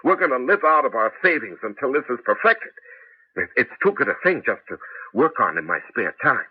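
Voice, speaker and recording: A man acting in a 1980s radio drama as an excitable taxi driver and amateur inventor, with a halting, emphatic delivery. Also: mid-range voice, slightly rough. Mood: earnest, intent. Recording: dull, noisy old radio broadcast.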